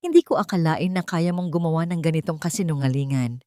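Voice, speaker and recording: A woman's voice: low-pitched, quick pace, mellow, clear, resonant tone, no echo, studio quality, close to an everyday voice. Woman acting an emphatic, stern young rich man. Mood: angry, cold. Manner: emphatic, commanding, emotional. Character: stern, wealthy young man, easily angered son.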